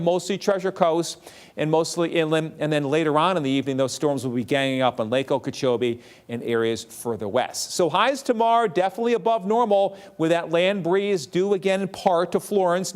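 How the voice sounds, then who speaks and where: A man delivering a TV weather forecast in a brisk, upbeat forecaster style. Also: on the high side. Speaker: TV meteorologist.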